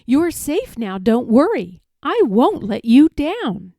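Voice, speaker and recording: A woman voicing a kind, protective male elephant in a children's storybook, speaking gently and sincerely with emphasis. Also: sits high, sing-song, takes it slowly, no echo, clean studio sound, exaggerated voice. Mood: reassuring. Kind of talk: children's storybook read-aloud.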